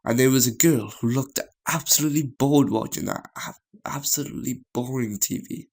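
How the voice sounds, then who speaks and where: A man reading a visual novel story aloud as a gaming host, in a halting way with stumbles and repeated phrases. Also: mid-range voice, a little mellow.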